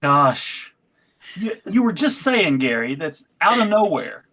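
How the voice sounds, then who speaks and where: A man's voice: on the high side, very animated, slow pace, sharp tone. Informal man hosting a table read. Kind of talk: casual panel hosting.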